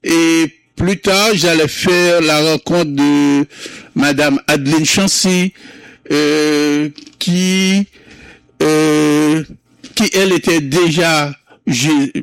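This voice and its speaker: Slow, hesitant man with a Creole mother tongue. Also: fairly high pitch, steady tone, clear, resonant tone, dry recording. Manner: slow, hesitant, many fillers and restarts. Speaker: founder of a community Creole month. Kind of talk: interview recollection.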